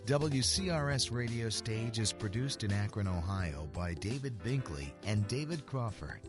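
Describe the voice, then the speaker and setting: Low-voiced male announcer over music. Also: animated, a little slow. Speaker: radio drama announcer. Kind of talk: scripted credits narration.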